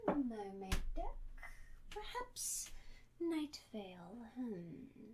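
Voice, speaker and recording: A woman playing a coy, theatrical witch who reads tarot, teasing and mysterious, coaxing the listener with dramatic pauses in an audio roleplay. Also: a little high, sing-song, noisy background, heavily acted. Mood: musing. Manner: slow, coaxing, endearments, dramatic pauses, breaks into near-whispers. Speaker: tarot reader.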